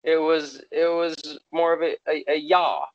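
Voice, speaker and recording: A man's voice: fairly high pitch, flat delivery, a little slow, no echo, muffled recording. A man hosting a podcast in casual chat, rambling and wry. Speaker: podcast host.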